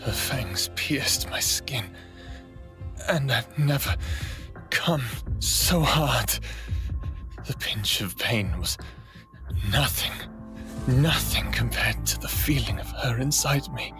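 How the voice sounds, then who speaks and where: An actor playing a devoted, wry human lover in his thirties in an intimate audio drama, speaking in a hushed way. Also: medium pitch, lively intonation, slow, faint music behind. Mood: passionate, breathless. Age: 30s.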